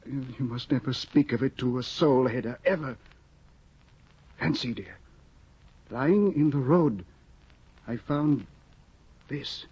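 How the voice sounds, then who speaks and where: An actor playing an excitable, fussy young scholar husband in a 1950 radio drama, flustered and exclaiming, on a dull old broadcast recording. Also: male voice, mid-range voice, slow pace, pauses often, husky. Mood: fretful. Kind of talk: 1950s radio drama acting.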